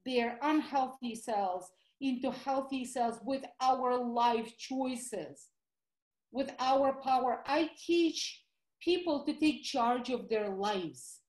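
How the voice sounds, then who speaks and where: A woman's voice: high voice, animated, slow, a little mellow, roomy sound. A middle-aged woman with a Russian accent, a guest doctor telling her story eagerly in a call-in interview. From former Soviet Union.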